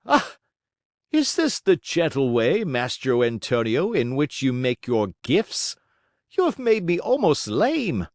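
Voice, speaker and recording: A man voicing a dapper, hot-tempered, excitable old man in a storybook reading. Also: a little high, takes it slowly, dry recording, studio quality, pitched above the performer's own voice, heavily acted. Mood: indignant.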